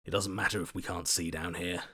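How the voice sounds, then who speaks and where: A combative male inner voice from a video game, low and hard-edged. He is stubborn and scornful, giving orders with force, in a close, dry sound. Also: little pitch movement, a little fast, bright, forceful tone, slightly rough, no room sound, full character voice. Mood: grim determination. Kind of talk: dramatic video game voice acting.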